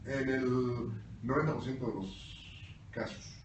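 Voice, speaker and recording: A man's voice: medium pitch, fairly even intonation, slow, a little hiss or hum. A Mexican man co-hosting a casual panel chat, telling wry stories with a Mexican Spanish accent. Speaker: co-host. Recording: very echoey room, mic at a distance.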